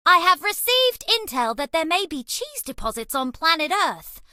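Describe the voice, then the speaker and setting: A woman's voice: extremely high pitch, big pitch swings, moderate pace, pushed and bright, dry recording, studio quality, full character voice. A woman voicing a bubbly, cheese-obsessed young alien rodent space traveler in a video game, with a perky, theatrical delivery. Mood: playful, dramatic. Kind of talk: animated game character voice lines.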